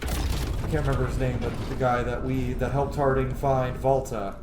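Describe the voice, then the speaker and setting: A man's voice: mid-range voice, level tone, moderate pace, background noise. Roomy-sounding man doing casual gameplay commentary. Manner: informal, slangy, thinks aloud. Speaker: gaming channel host. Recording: roomy sound with game music behind. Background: video game music.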